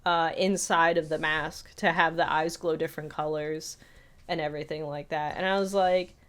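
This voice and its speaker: A woman's voice: low voice, fairly even intonation, moderate pace, fairly bright tone, clear, resonant tone. A woman co-hosting a podcast, chatting casually about film in a rambling way with lots of "like". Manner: rambling, peppers talk with "like". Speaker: podcast co-host. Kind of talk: casual film discussion chat.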